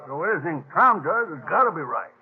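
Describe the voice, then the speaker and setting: An actor playing a brisk, sardonic stagecoach driver calling out to folks in a 1950s western radio drama. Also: male voice, on the high side, sing-song. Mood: brisk, wry. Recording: tinny old radio broadcast.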